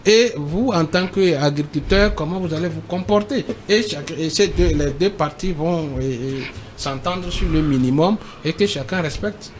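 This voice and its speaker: Man debating, higher voice, noisy sound. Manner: halting, repeats himself, emphatic. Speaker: panelist in a TV debate. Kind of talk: debate commentary.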